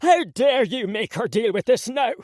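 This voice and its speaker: A woman's voice: a little high, animated, moderate pace, darkish tone, dry recording, studio quality, voice pushed up. A woman voicing a bitter, furious father who sneers and accuses his child. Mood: seething.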